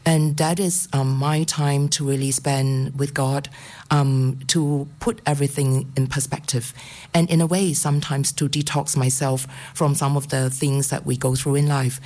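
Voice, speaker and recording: A woman's voice: a bass voice, level tone, a little slow, warm tone. A middle-aged woman from Singapore giving a personal faith testimony on radio, hesitant, with many ums and restarts.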